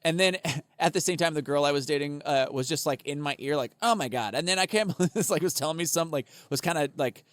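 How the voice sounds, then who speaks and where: This man has a fairly high voice and wanders through his stories in a loose, profane way, laughing often as he goes. He sounds dry and close to the mic. He is co-hosting a casual comedy podcast. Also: fast, few pauses, dry recording.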